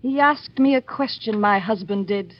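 A woman's voice: medium pitch, normal speed, no room sound, natural voice. Woman acting a commanding, scornful scheming wife, vintage radio. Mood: scornful, demanding. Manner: commanding, taunting, theatrical. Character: scornful, scheming wife plotting her husband's murder. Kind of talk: old-time radio drama acting. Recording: dull vintage radio recording.

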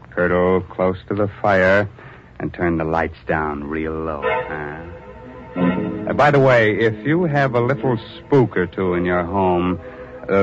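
A man's voice: lowish voice, close to an everyday voice. A man playing a campy, ghoulish horror host who introduces old radio tales with puns. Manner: campy, punning. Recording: muffled audio with music behind.

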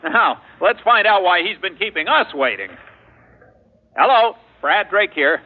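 An actor playing a genial, avuncular disc jockey of a teen show in an old radio drama, with folksy patter. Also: male voice, sits high, expressive, measured pace. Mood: showman patter. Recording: tinny band-limited old radio, loud noise.

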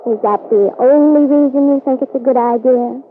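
A woman's voice: sits high, normal speed, lightly acted. An actress playing an insecure, suspicious woman with a guarded, formal manner in an old-time radio soap. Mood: suspicious, hurt. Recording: noisy narrow-band vintage radio recording.

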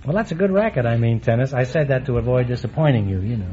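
A middle-aged man hosting a quiz show, teasing his guests with quick puns and asides before a live audience. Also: medium pitch, lively intonation, mellow, slight background noise. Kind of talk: wisecracking quiz show banter. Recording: dull old radio broadcast, audience behind.

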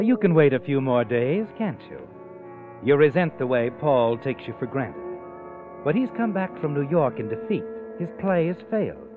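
An actor playing an ominous, accusing male narrator, insinuating and menacing, in old-time radio suspense style. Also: a little high. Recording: muffled vintage radio recording, narrow band. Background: dramatic instrumental music.

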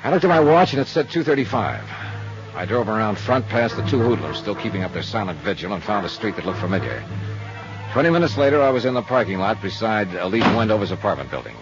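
A hardboiled, world-weary private investigator from a fifties radio serial. His low voice tells the case in dry, understated lines. The old recording is muffled, with music around it. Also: big pitch swings, fast talker. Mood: wry narration. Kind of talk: 1950s radio detective drama, hardboiled narration.

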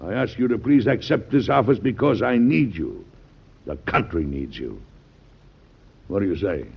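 Testy, gravelly old President, radio drama. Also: male voice, on the low side, sing-song, normal speed, full character voice. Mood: firm, earnest. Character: elderly US President, testy, stubborn, principled, dryly witty. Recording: dull lo-fi old radio recording.

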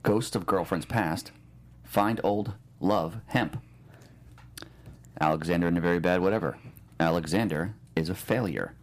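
A man with a low-pitched voice, chatting casually as a co-host. He talks in a joking, rambling way, as in loose banter among friends on a show. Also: measured pace, pauses often. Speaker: podcast co-host.

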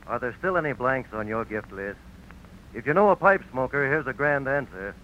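A man reading an advertisement in 1940s radio announcer style. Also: a little high. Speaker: commercial announcer. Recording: muffled old radio, faint noise.

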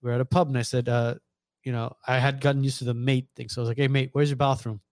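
A man's voice: medium pitch, flat delivery, fast, a little mellow, no echo, studio quality. A man hosting a casual podcast chat, telling a story with many restarts and laughing at himself.

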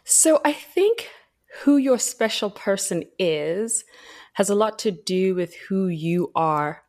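A woman's voice: mid-range voice, expressive, slow, darkish tone. A woman from Zimbabwe, a guest on a podcast, chatting casually, laughing easily and sounding a little tired. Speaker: podcast guest, mining engineer. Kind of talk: casual interview chat.

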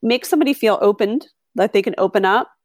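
A middle-aged woman, a podcast host, telling stories in a chatty, animated way with lots of fillers. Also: mid-range voice, quick pace, dry recording, clean studio sound. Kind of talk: conversational interview.

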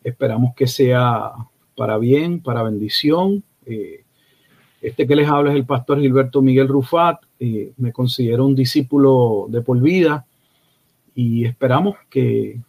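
Measured pastor. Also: male voice, mid-range voice, creaky, a little mellow, husky. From Puerto Rico. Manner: measured, listing phrases in series. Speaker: pastor, guest. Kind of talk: interview answers.

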